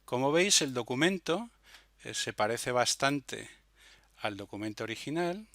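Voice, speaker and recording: A man narrates an informal software demo tutorial, explaining step by step with hesitations and frequent little checking tags. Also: mid-range voice, expressive, a little slow, dry recording. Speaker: software demonstrator.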